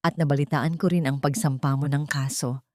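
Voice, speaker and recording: A woman voicing a bitter, secretive elderly former housemaid in an audio storybook, in a hushed, confiding manner. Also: sits low, fairly even intonation, fairly quick, no echo, studio quality, close to an everyday voice. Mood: grave confiding. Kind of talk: audio storybook character dialogue.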